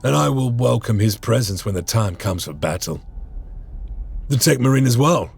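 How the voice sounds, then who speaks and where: Gravelly, stern space marine commander. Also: male voice, medium pitch, animated, normal speed. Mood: grim resolve. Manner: formal, resolute, weighty. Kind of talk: dramatic video game cutscene acting.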